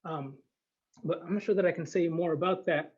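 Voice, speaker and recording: A man speaking as an academic guest in an unscripted discussion, thinking aloud in a hesitant, halting way. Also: on the high side, husky, highs cut off.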